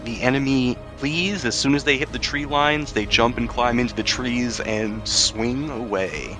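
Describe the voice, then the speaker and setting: A man's voice: medium pitch, measured pace, music in the background. A man narrating a tabletop roleplaying game as game master, switching between dramatic description and casual rules talk.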